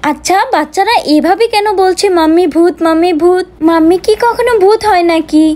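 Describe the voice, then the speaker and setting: Woman voicing a fretful high-voiced village mother doll. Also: a little fast, warm tone. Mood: puzzled. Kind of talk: children's doll story voice acting.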